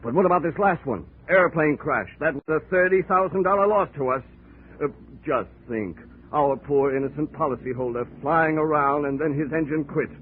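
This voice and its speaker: An actor playing a fussy, fretful insurance manager in 1940s radio style, flustered and officious. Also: male voice, fairly high pitch, moderate pace, a little hiss or hum, lightly acted. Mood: fretful, indignant. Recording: muffled, fuzzy old radio recording.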